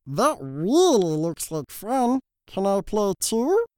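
Man playing a childlike storybook caterpillar boy, raised pitch, slow drawn-out lines. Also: wildly swooping pitch, dark tone, clear, resonant tone, no room sound, studio quality, exaggerated voice. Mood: hurt. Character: young male caterpillar who becomes butterfly.

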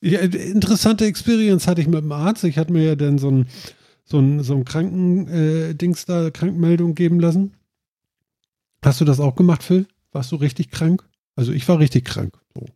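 A man's voice: on the high side, moderate pace, warm tone, no echo, studio quality. Nasal man chatting with a stuffed nose. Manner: rambling, many fillers. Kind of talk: casual chat.

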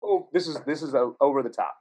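Casual male musician guest, husky, loose and rambling, swears freely. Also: mid-range voice, fast, mellow. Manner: rambling, profane, laughs often. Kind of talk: casual podcast chat.

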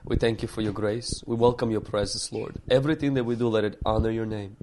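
Lower-voiced man from Ukraine, earnest. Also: steady tone, fast talker, darkish tone, a little hiss or hum. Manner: earnest, reflective, with frequent restarts. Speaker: pastor and podcast guest. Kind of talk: opening prayer, then storytelling interview answers.

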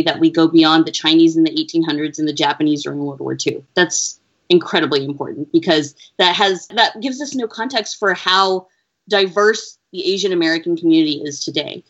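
A woman researcher answering interview questions in an earnest, measured, explaining way. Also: lowish voice. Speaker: education researcher, interview guest. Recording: dull lo-fi audio.

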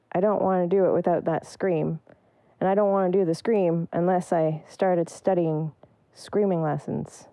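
A woman's voice: fairly low pitch, dark tone, no echo. A woman percussionist giving thoughtful, searching answers in an interview. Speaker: percussionist guest.

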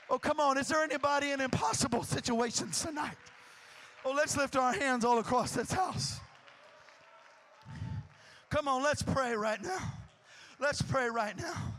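A man preaching a sermon in a church, moving from quiet teaching to shouted, fervent prayer. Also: extremely high pitch. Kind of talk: sermon with altar call prayer. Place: church sanctuary.

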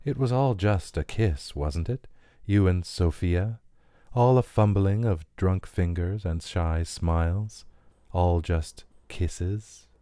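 A man as a dark, low-voiced inhuman dealmaker. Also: slow pace, no echo, studio quality. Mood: sinister. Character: inhuman company representative, gracious, menacing, predatory bargainer. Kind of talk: dramatic audio fiction reading.

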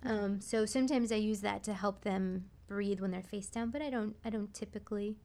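A woman's voice: medium pitch, fairly even intonation, normal speed, warm tone. A woman massage therapist giving casual explanations as a resident expert on a talk show.

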